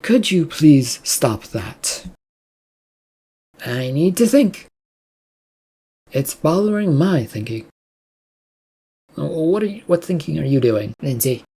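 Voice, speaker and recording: A reader acting out an exasperated woman coworker in a story. She is brusque and questioning, with a mellow, husky tone. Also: mid-range voice, big pitch swings, slow, long pauses, heavily acted. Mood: irritated. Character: irritated, brusque female coworker.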